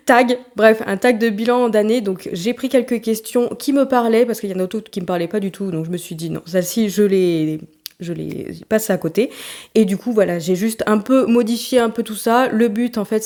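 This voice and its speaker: A young woman with a stuffy, blocked nose chats casually about her reading in a rambling, filler-filled way. Her voice sits at a middle pitch with a soft, mellow tone. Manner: chatty, rambling, many fillers and restarts. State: congested, talking through a blocked nose. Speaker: book vlogger. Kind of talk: casual chat to camera.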